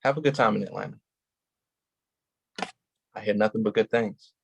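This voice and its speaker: A man's voice: fairly low pitch, moderate pace, vocal fry, husky. An actor playing a shaken, grieving male police officer in a staged play reading, raw and intense. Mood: subdued.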